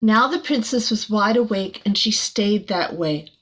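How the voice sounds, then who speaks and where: A woman reading a picture book aloud to children like a teacher, expressively, with soft chatty asides. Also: medium pitch, animated, measured pace, low-quality recording.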